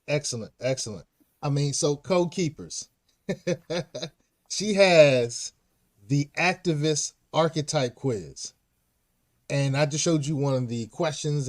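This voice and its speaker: Higher-voiced male talk show host, exclamatory. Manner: exclamatory, slangy, repeats phrases for emphasis. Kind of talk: enthusiastic interview hosting.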